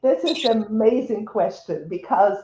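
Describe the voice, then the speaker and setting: A woman's voice: medium pitch, normal speed, dark tone, echoey room, poor audio. A woman in her seventies from Poland, speaking with a Polish accent as an interview guest, talking in a hesitant way with many restarts and fillers. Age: 70s. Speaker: interview guest, wellness coach. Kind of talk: casual interview conversation.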